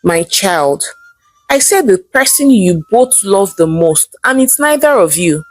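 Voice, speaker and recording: A woman voicing a solemn, commanding male shrine priest in a folktale, portentous and deliberate. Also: mid-range voice, expressive, no echo, faint music behind. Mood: stern.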